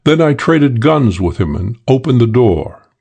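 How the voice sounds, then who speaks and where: A man's voice: medium pitch, very animated, measured pace, vocal fry, darkish tone, breathy edge, exaggerated voice. A man voicing a suave, boastful English con man in an audiobook, talking in a cool, self-assured drawl. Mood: tense recounting. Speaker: character in an audiobook. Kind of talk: audiobook character voice.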